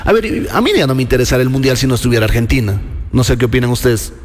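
A man co-hosting a sports talk show, debating football in a lively, repetitive way. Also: medium pitch, very fast.

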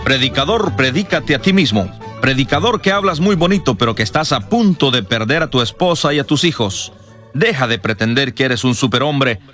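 A man's voice: medium pitch, expressive, a little fast, a bit bright. A man delivering a scripted, rhetorical program introduction as host, addressing the listener directly and earnestly. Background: music bed.

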